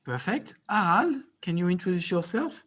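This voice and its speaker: A man moderating a conference panel in a relaxed way, leaning on "you know" fillers. His voice sits slightly higher than average. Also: normal speed.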